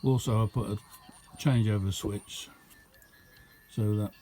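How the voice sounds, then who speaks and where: A man's voice: lowish voice, steady tone, unhurried, warm tone, a little hiss or hum. A man giving a casual, unscripted show-and-tell as a hobbyist, rambling along in an understated way. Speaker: hobbyist demonstrating a homemade radio.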